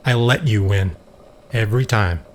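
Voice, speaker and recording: A man acting in a science fiction audio drama as a grave, troubled scientist and proud elder brother, speaking in measured, earnest, formal phrases. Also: fairly low pitch, lightly acted. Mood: dry, competitive. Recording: faint background noise.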